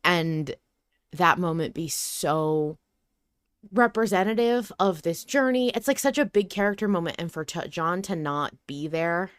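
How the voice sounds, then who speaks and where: Smooth-voiced woman podcast host, slightly low. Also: animated, measured pace, dry recording. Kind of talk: casual discussion of an audio drama.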